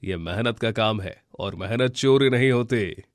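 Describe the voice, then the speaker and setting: A man's voice: fairly low pitch, a little slow, dry recording, clean studio sound, lightly acted. A man voicing a protective male bear in a children's cartoon, firm and reassuring. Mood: stern. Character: protective, steady male bear friend guarding the field.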